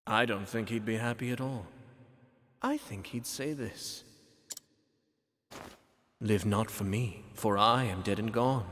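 An actor playing a suave, taunting puppet-master villain in a video game, with a measured, mocking, theatrical delivery. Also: male voice, fairly low pitch, a bit bright. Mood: taunting, probing. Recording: long cavernous reverb.

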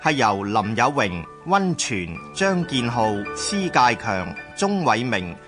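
A man with a Hong Kong Cantonese accent giving formal, measured scripted narration for a historical radio drama. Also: mid-range voice, very animated, music in the background.

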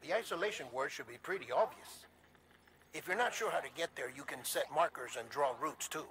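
A man's voice: fairly high pitch, lively intonation, brisk, fairly bright tone. An actor playing a composed, earnest government official in a video game, persuasive and solemn in his delivery. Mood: instructive. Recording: clear background noise and ambience.

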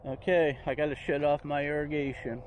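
A man's voice: on the high side, fairly even intonation, darkish tone, faint music behind, poor audio. A man giving a casual, rambling tour of his backyard garden, recorded outdoors on a handheld phone. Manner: rambling, unhurried, points things out as he walks.

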